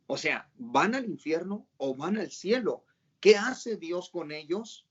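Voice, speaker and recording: A man's voice: sits high, lively intonation, slow, a bit bright, slightly rough, lo-fi sound. A man hosting a casual religious interview, asking long questions that are full of fillers and rephrasing. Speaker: host and interviewer.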